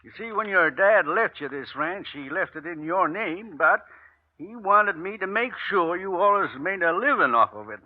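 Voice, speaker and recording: A kindly, downhearted old rancher, folksy and rural American. His voice sits on the high side. It comes from a dull vintage radio western. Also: animated, moderate pace. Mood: discouraged. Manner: folksy, plaintive. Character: discouraged, kindly old rancher uncle in a wheelchair. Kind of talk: old-time western radio drama acting. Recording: muffled old radio recording.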